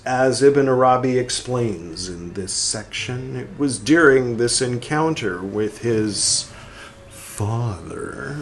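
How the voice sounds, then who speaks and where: Slow man reading aloud with whispered asides. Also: mid-range voice. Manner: reads slowly, speaking the punctuation aloud and trailing into whispered asides. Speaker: podcast host reading aloud.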